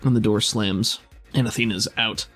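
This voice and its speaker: A man's voice: lowish voice, fairly even intonation, moderate pace, fairly bright tone. Man narrating a game over music. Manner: descriptive, scene-setting. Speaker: game master. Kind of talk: improvised narration of a tabletop game.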